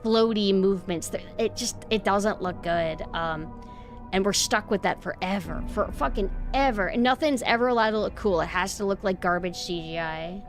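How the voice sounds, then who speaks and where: A young woman with a nasal voice rambles sarcastically, swearing freely as she chats to her stream. Her pitch sits in an ordinary middle range, and faint music plays under her. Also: normal speed. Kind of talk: casual stream chat.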